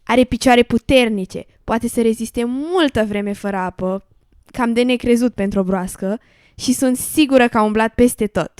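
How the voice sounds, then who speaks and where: A woman's voice: a little high, darkish tone, clean tone, no echo, studio quality, close to an everyday voice. A woman playing an encouraging little female frog in an educational radio drama, coaxing and reassuring, a hopeful believer in magic. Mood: reassuring, intriguing.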